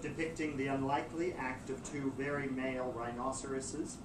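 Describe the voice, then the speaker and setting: A man's voice: medium pitch, level tone, moderate pace. Male story narrator in a noisy, echoing room. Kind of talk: scripted narration of a comic romance novel. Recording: roomy, distant, very noisy amateur recording.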